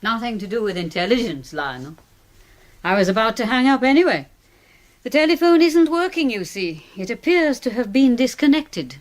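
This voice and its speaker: Woman playing bossy crisp lady doctor, high voice, really lively intonation, cool headed, radio drama. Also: moderate pace, natural voice. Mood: cool, composed. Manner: crisp, commanding, composed. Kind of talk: British radio drama acting.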